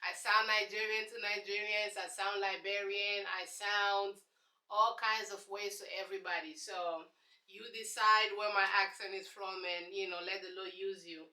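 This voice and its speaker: A woman's voice: medium pitch, steady tone, moderate pace, pushed and bright, reverberant, poor audio. A young woman from Congo with a Congolese accent, answering viewer questions in a casual, sassy vlog. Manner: chatty, sassy, preachy asides. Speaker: YouTube host.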